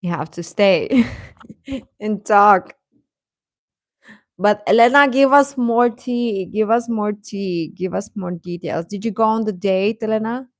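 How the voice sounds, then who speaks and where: Woman doing a chatty livestream, talks kinda slow, repeats herself to the viewers, really dry sound no echo. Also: medium pitch. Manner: chatty, reacting to viewers' comments with questions and repeated phrases. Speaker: livestream host. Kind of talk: casual livestream chat with viewers.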